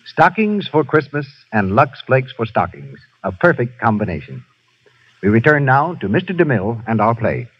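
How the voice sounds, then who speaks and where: A man reading announcements in formal vintage radio style. His voice is breathy and swings lively in pitch. The old broadcast sounds dull. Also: normal speed, no room sound.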